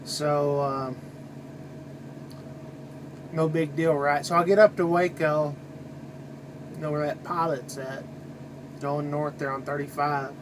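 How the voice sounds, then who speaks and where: A middle-aged American man, a truck driver, rambling casually in a halting, conversational way to his vlog. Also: fairly high pitch, level tone, background noise.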